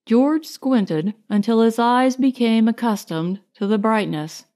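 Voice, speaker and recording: A woman's voice: on the high side, a little slow, mellow. A woman narrating a scripted short story as an audiobook reader.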